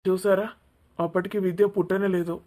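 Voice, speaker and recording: A woman's voice: mid-range voice, flat delivery, fairly quick, mellow, lightly acted. A woman voicing a polite, tactful young man who placates his elders in a cartoon family drama. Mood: reasoning.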